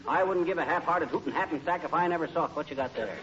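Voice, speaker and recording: A man's voice: fairly high pitch, rapid-fire, close to an everyday voice. A man with an American accent playing a grumpy, blustering middle-aged husband who hides his sentimental side, in 1940s radio comedy style. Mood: indignant, defensive. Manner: blustering, folksy, grumbling. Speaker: comedy lead actor. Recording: noisy, dull old-time radio recording.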